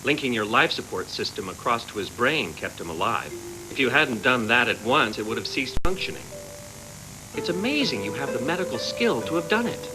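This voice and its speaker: Man voicing a lively, self-assured anime doctor. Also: medium pitch, fairly quick, natural voice, low-quality recording. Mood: reassuring, pleased. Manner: reassuring, self-satisfied. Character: self-assured adult male cyber-doctor, reassuring. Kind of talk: dubbed anime voice acting. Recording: music behind, old VHS tape dub.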